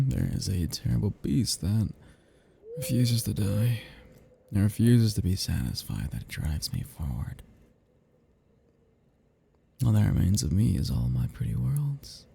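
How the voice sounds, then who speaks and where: A man performs a brooding immortal vampire in an intimate ASMR roleplay. The voice is very mellow and slow, full of deep creak, slipping into breathy whispers with a seductive, possessive menace. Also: slight background noise, exaggerated voice. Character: seductive, melancholy, possessive male vampire, self-loathing immortal predator.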